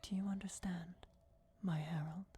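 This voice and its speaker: A woman's voice: lowish voice, fairly even intonation, normal speed, mellow, husky. A woman voicing a regal, commanding vampire goddess in a solemn whispered fantasy ASMR roleplay. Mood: commanding, reassuring. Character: ancient vampire goddess, commanding, softly menacing, bestowing destiny.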